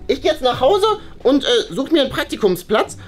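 A woman's voice: high-pitched, very animated, brisk, darkish tone, soft background music. A woman playing a flustered, boastful schoolboy in a children's play story, stammering and easily panicked. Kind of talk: playful children's toy-play story acting.

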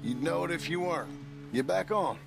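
An actor playing a stern but caring former outlaw turned rancher father in a video game, gravelly and terse with rural plain speech. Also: fairly high pitch, expressive, a little fast, over music. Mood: urging. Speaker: game character. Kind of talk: video game cutscene acting.

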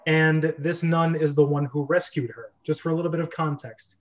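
A man's voice: fairly high pitch, steady tone, moderate pace, muffled recording. A man in his thirties hosting a script read-through, narrating screenplay directions and chatting casually over a video call. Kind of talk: reading screenplay directions amid casual chat. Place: bedroom at home.

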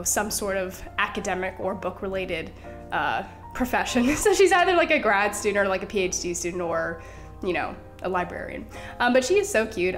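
A young woman at an everyday mid pitch, talking in a loose, rambling way while she plays, full of fillers and little laughs. She sounds a bit stuffy from a cold. Quiet music plays faintly underneath. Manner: rambling, frequent "like" and "you know", giggly. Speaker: Sims gameplay creator. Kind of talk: casual chat over gameplay.

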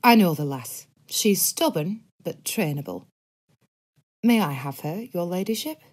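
A stern, motherly cook with a lower, firm voice, as a woman reader puts her on. She speaks bluntly and plainly, in a protective tone. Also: lively intonation, a little slow, darkish tone, slightly rough.

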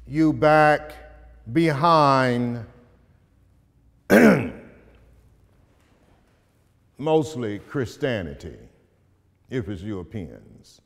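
Slow, lively-voiced man in a reverberant hall. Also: fairly high pitch. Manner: slow, deliberate, dramatic pauses, rising to loud emphasis in verse. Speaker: poet and community speaker. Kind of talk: storytelling talk and spoken-word poetry. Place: large bright hall with tall arched windows, indoors. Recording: hall reverb from a large room.

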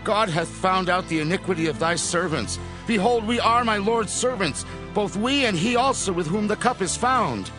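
Earnest Hebrew supplicant, imploring, very varied intonation, faint music, scripture drama. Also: male voice, sits high, lively intonation, moderate pace, hardly any pauses. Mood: anguished. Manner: humble, imploring. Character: Judah, earnest pleading Hebrew brother.

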